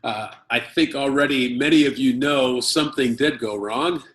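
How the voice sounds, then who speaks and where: An American man from Chicago telling a personal story at a conference, speaking in short phrases and pausing for his interpreter. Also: medium pitch, fairly bright tone. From Chicago, United States. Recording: roomy echo.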